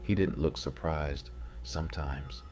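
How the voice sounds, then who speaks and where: A man narrating a scripted first-person horror story in a measured, ominous way, with music behind him. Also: very deep voice, steady tone, darkish tone. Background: music bed.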